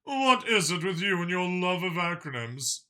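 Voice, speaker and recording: A man's voice: high-pitched, sing-song, normal speed, sharp tone, voice pushed up, exaggerated voice. A man voicing a proud, smug male military commander in an audiobook, with a drawling, boastful manner. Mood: amused. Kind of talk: audiobook character dialogue.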